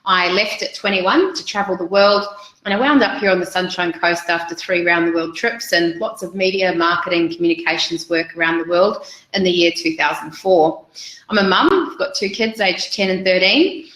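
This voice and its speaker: A woman's voice: on the low side, moderate pace, a bit bright, reverberant, poor audio. A woman in her forties with a New Zealand accent, presenting a business webinar over slides in an upbeat, chatty way with frequent ums.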